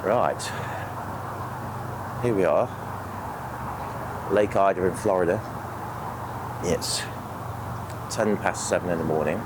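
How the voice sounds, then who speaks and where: Croaky, deep-voiced British man with a cold. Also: takes it slowly, long pauses, background noise. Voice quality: croaky, sniffly. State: has a cold. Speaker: fishing vlogger. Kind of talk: casual outdoor vlog talk to camera. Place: outdoors on a lake bank.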